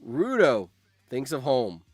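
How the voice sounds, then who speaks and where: Animated man streaming casual live commentary. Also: medium pitch, takes it slowly, slightly rough, dry recording, soft background music. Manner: animated, exclamatory, repeats phrases. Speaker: streamer hosting a reaction game. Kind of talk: casual live-stream commentary, reading game events aloud.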